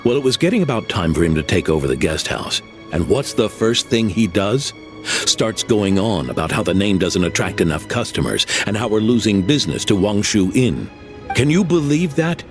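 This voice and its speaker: A man's voice: on the low side, animated, normal speed. An actor playing a proud, elderly male innkeeper who tells old family legends and scolds skeptics. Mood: indignant. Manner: grave, lecturing, theatrical. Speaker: guest house keeper. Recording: game audio with faint music.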